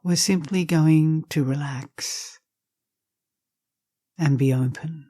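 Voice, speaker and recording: Soft, husky woman guiding meditation. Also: sits low, dry recording, studio quality. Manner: gentle, unhurried phrasing with long pauses. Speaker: meditation teacher. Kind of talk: slow guided meditation.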